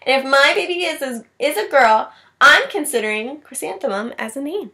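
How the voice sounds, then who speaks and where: Woman putting on a bright, whimsical lady teacher. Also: high voice, animated, normal speed, pitched above the performer's own voice, heavily acted. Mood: warm, proud. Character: kindly, whimsical woman music teacher, expecting a baby.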